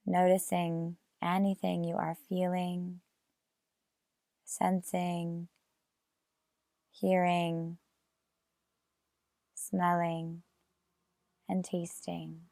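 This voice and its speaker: Slow, creaky-voiced woman guiding meditation. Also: fairly low pitch, flat delivery, warm tone, no room sound, studio quality. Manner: measured, with long pauses between phrases. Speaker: meditation guide.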